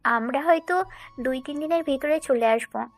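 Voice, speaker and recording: High-voiced caring young woman voiced by a narrator. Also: normal speed, faint music behind. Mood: concerned. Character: caring, scheming young woman helping runaway lovers.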